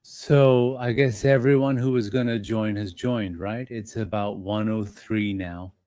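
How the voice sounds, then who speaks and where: A man hosting an investing channel, talking in a gentle, reassuring and slightly apologetic way to his members, with small fillers as he thinks aloud. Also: on the low side, fairly even intonation, unhurried, clean tone, no echo. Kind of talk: casual live-stream talk to members.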